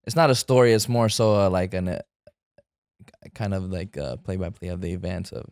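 A man in his thirties with a lowish voice tells his story in a loose, halting way, pausing on fillers and restarting his sentences. He is from the Bay Area and speaks into a close studio mic in a living room. The sound is dry and close. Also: a little mellow, no echo. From San Francisco Bay Area. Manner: hesitant, many fillers and restarts. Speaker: guest actor. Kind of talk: casual interview chat. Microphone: studio mic on arm.

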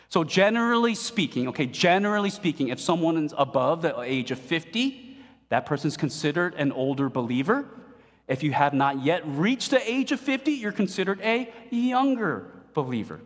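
A man in his forties preaching a sermon from a pulpit, animated and playful, asking the congregation questions. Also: a little high. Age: 40s. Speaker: pastor. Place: church stage at a pulpit, indoors. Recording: long reverb of a hall.

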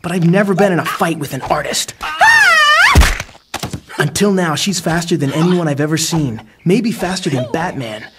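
A man playing an earnest, principled teenage hero in a comic-book audio drama, with a rough, husky voice. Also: a little high, moderate pace, heavy background noise, lightly acted. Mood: tense narration.

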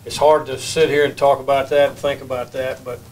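An older man, a baseball head coach, answering questions at a postgame press conference in a plain, measured way at a table microphone. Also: medium pitch, level tone, darkish tone, slight background noise. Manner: measured, plain-spoken, many restarts. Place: press room. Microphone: table mic.

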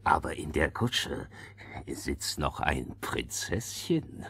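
An actor playing a rough, leering, hoarse robber in a theatrical fairy-tale audio play. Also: male voice, very deep voice, very animated, slow pace, fairly bright tone, heavily acted. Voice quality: hoarse, gravelly.

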